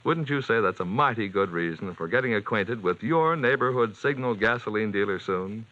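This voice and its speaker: A man announcing and pitching for a sponsor in a polished, emphatic 1940s radio style. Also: expressive, normal speed, bright, forceful tone. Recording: dull vintage radio recording.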